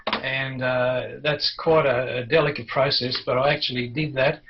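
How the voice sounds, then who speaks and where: A man's voice: medium pitch, little pitch movement, normal speed, slight background noise. Older Australian man, dull lo-fi sound. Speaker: amateur television enthusiast recounting the past. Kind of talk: unscripted personal reminiscence.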